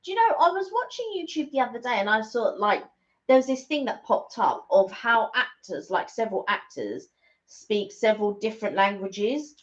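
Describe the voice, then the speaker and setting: A woman's voice: on the high side, big pitch swings, normal speed. A woman co-hosting a live stream in casual, informal chat, readily responding to her partner. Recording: dull, lo-fi livestream audio.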